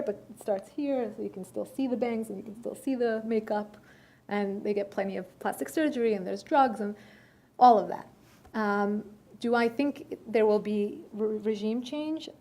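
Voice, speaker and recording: A woman's voice: mid-range voice, darkish tone. Woman speaking thoughtfully at a luncheon. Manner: measured, with many filler pauses and restarts. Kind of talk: conversational Q&A talk. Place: luncheon room.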